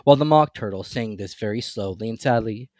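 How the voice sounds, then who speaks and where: An expressive, theatrical narrator giving a dramatic reading of a children's classic. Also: medium pitch, fairly quick, dry recording, studio quality. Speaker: storybook narrator.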